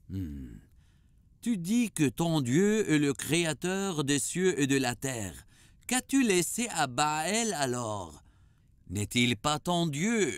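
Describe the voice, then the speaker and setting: An imperious, threatening cartoon king. He speaks slowly and with authority in a fairly high male voice, recorded dry and close. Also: no echo. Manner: deliberate, authoritative. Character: imperious pagan king, measured but threatening. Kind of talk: cartoon dubbing.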